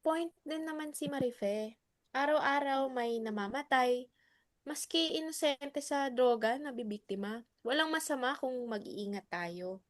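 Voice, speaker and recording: Protective mom played by a woman, high voice, reassuring yet cautioning, dry drama-reading sound. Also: a little slow, no echo. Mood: cautious. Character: protective, doting mother of a college-age son. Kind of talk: dramatic stage reading in Tagalog.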